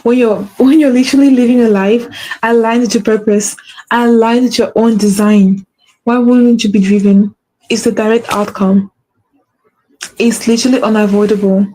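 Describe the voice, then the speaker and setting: A woman's voice: on the high side, fairly even intonation, normal speed, mellow, a little hiss or hum, low-quality recording. A woman with a stutter hosting a faith-based motivational talk, repeating her key phrases for emphasis. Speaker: podcast host.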